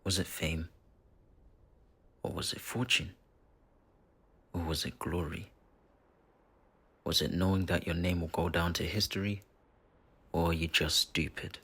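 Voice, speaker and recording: Guy doing evil possessing demon spirit, super low husky gravel, mocking and menacing, slow dramatic pauses, fantasy audio roleplay. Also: flat delivery, bright, forceful tone, full character voice. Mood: mocking contempt. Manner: theatrical, taunting, deliberate with dramatic pauses. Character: possessing dark spirit villain, mocking, menacing, bitterly obsessive.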